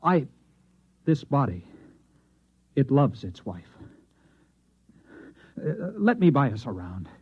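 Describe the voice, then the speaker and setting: An actor playing a distraught man who believes he is a Martian, speaking haltingly and formally in old-time radio drama style. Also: medium pitch, big pitch swings, husky, heavily acted. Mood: anxious, timid. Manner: agitated, haunted, halting, formal phrasing. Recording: dull, lo-fi vintage radio recording.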